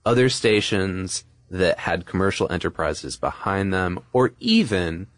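Low-voiced man, casual podcast co-host. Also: very animated, unhurried, dry recording, studio quality. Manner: casual, discursive explaining. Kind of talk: conversational history discussion.

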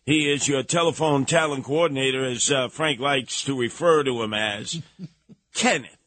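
A man's voice: medium pitch, normal speed, a bit bright, slight background noise. A man hosting a radio talk show with theatrical, teasing banter. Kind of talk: casual radio banter, mocking commentary.

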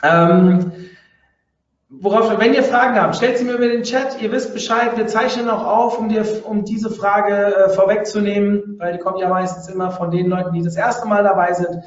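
A man hosting a live webinar who has a cold and coughs now and then, talking chatty and halting with many fillers. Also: high-pitched, fairly even intonation, heavy reverb, distant mic, highs cut off. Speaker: podcast and webinar host.

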